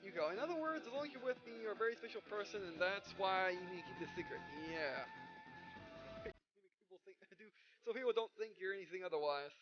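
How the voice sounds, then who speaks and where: A man with a high voice plays a game and talks through it casually. He reads dialogue aloud haltingly, tripping and correcting himself. The recording is dull and lo-fi. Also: lively intonation, soft background music. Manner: halting, stumbling read-aloud with self-corrections. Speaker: gaming video host. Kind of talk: casual let's play, reading dialogue aloud.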